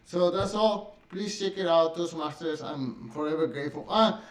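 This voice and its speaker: Spanish-speaking guy talking english to camera, kinda high voice, lots of uhs, roomy echo. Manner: halting, many fillers and restarts. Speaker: vlogger. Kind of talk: casual talk to camera. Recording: echoey room.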